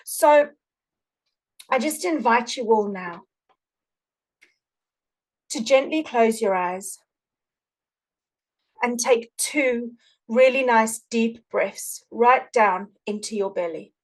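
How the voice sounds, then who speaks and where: A woman healer speaking over a video call, with a voice a little higher than most. She gushes and repeats herself when chatting, then turns soft and slow when she guides the group. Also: low-quality recording. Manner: effusive, repetitive, soft-spoken when guiding. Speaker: guest energy healer. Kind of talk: casual chat then guided meditation.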